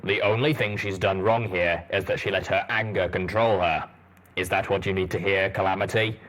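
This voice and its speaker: A man voicing a huge, stern armored ranger pony in an audiobook, with a rumbling voice and a blunt, deliberate manner. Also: steady tone, a bit bright. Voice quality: deep, rumbling.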